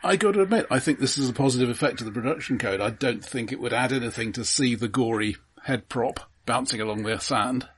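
A man's voice: mid-range voice, fast talker, few pauses, breathy edge, no echo. A man co-hosting a casual film discussion in a matter-of-fact way. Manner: matter-of-fact, interjects corrections. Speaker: film podcast host.

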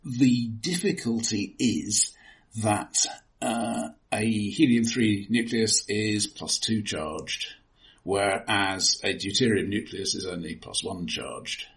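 Low-voiced man, analytical and halting. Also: a bit bright, poor audio. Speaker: podcast co-host. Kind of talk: conversational film discussion with technical explanations.

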